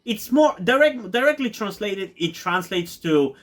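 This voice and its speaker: A man's voice: high voice, sing-song, a little slow, a bit bright. A man from Bulgaria whose first language is Bulgarian, casually reviewing a TV episode with lots of fillers, restarts and eager repeated words. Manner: rambling, many fillers and restarts; enthusiastic, repeats words for emphasis. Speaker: TV review YouTuber.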